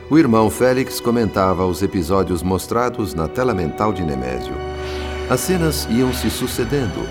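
A man playing an earnest narrator in a radio drama, telling the story in formal, literary, dramatic phrasing. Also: deep voice.

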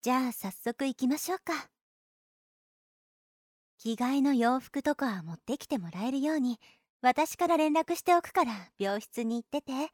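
A woman's voice: sits high, lively intonation, normal speed, dry recording, clean studio sound. A woman playing a caring, insistent, overprotective older sister in a Japanese audio drama. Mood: caring, brisk. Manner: gentle, fussing, attentive. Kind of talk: Japanese situation voice drama acting.

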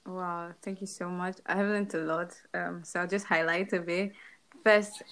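A woman's voice: on the low side, fairly even intonation, normal speed, a bit bright. A young woman hosting an interview, talking effusively and summing up what her guest said. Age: young adult. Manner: enthusiastic, effusive. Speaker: host.

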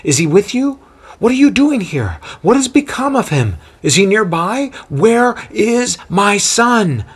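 Give me a man playing an anxious mother, high-pitched and lively, firing off questions. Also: breathy edge, full character voice. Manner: frantic, rapid-fire questions. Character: anxious, excitable mother, middle-aged woman.

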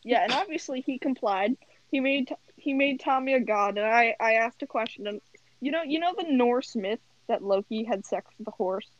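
A young woman hosts a chat over her computer mic, talking excitedly, swearing freely and laughing easily. Her voice sits a bit high and sounds close and dry, with no room around it. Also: normal speed, no room sound, muffled recording. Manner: swearing, giggly, excitable storytelling. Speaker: host of a friends' chat. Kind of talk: casual chat. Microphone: home computer mic on a call.

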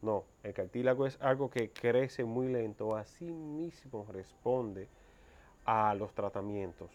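A man's voice: lowish voice, slow, darkish tone. Dominican man, slightly muffled recording. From Dominican Republic. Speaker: interview guest. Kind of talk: explanatory conversation.

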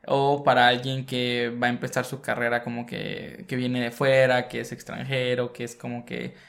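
A young student host, interviewing casually, relaxed and teasing, with plenty of slang. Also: mid-range voice, normal speed, gravelly. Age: young adult.

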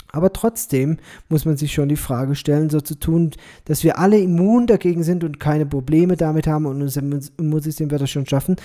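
A man's voice: on the high side, mellow. A man speaking alone on his podcast as a missionary, explaining his views in a reflective way with frequent hesitations and restarts. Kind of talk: solo podcast commentary.

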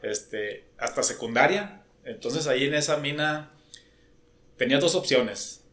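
A middle-aged man, a podcast guest telling stories about his life in a relaxed, joking way. He often repeats a word as he starts a thought. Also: mid-range voice, measured pace. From Coahuila, Mexico. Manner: relaxed, jokey, repeats words when starting. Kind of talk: casual storytelling over beers.

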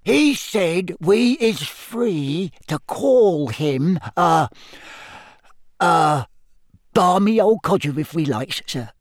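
A man voicing a small, earnest male house elf with a squeaky voice, deferential and halting in broken grammar, for a storybook. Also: animated, no echo, studio quality, heavily acted. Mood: nervous, loyal.

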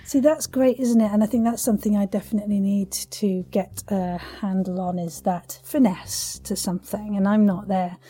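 A woman's voice: medium pitch, steady tone, moderate pace, few pauses, dark tone, slightly rough. A woman hosting a casual interview in an effusive, encouraging manner. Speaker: podcast host, interviewer.

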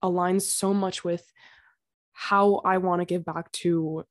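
A young woman hosting her own podcast, talking casually and earnestly, her throat slightly raspy from being sick. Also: on the low side, flat delivery, takes it slowly. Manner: chatty and earnest, with fillers and small laughs. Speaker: podcast host. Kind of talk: casual solo podcast talk. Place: home.

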